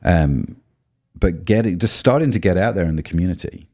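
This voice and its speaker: A man co-hosting a podcast in casual chat, his deep baritone made rougher by a cold, thinking aloud with many ums and restarts. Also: animated, a little fast, mellow. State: voice low and rough from a cold spell. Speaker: podcast co-host.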